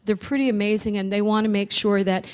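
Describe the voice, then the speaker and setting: A woman founder speaking earnestly and conversationally at a conference, with frequent pauses and restarts. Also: medium pitch, fairly even intonation. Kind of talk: personal conference talk.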